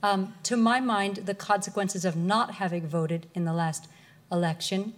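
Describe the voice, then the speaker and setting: A woman lecturer answering audience questions in an articulate, measured way. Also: medium pitch.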